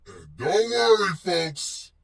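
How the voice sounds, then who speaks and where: Gravelly, husky man vlogging, slightly distant. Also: high-pitched, huge pitch swings, slow, a bit bright. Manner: slangy, swearing, playful, rambling. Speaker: vlogger and show host. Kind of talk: casual wrestling chat vlog.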